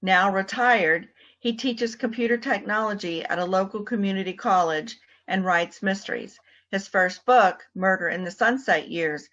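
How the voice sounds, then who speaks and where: A woman narrates a scripted program introduction as the announcer. Her voice is of average pitch. The recording is a little muffled. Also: a bit bright. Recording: slightly muffled, dull sound.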